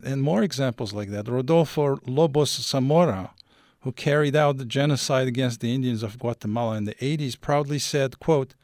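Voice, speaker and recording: A man's voice: medium pitch, moderate pace, darkish tone, no echo. Interviewer from Algeria, a man with a mid-range voice, formal and thorough, recorded dry. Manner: long, detailed questions, formal phrasing. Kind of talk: radio interview questions.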